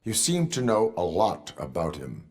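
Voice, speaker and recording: An actor playing a stern cyborg police officer in a video game, speaking stiffly and formally. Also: male voice, low-pitched, huge pitch swings, normal speed, darkish tone, heavily acted. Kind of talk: video game cutscene acting.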